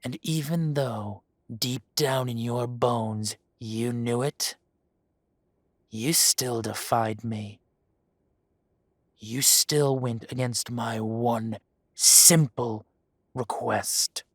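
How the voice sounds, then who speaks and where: Man playing a slow, husky dragon boss. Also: mid-range voice, steady tone, dry recording, studio quality. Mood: silky menace. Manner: slow, purring, mocking menace. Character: menacing male dragon posing as a mafia boss. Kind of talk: roleplay audio drama.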